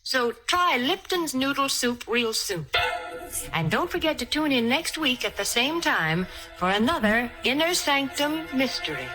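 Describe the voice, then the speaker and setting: A woman announces for a sponsor in 1940s radio style. Her voice is high, bright and animated, with a chirpy, persuasive selling manner. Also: measured pace, faint music behind, poor audio. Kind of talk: 1940s radio commercial pitch.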